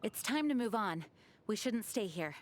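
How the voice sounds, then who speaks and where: An actress voicing a dutiful young woman knight and leader, speaking in a measured, earnest, formal way. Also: medium pitch, animated, natural voice. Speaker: game character voice. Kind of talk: game character voice lines.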